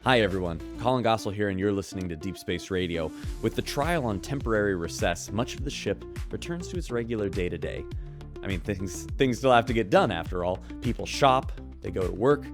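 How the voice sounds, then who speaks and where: A man hosting a radio show in scripted narration, with smooth broadcaster delivery. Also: on the low side, lively intonation, fairly quick, over music.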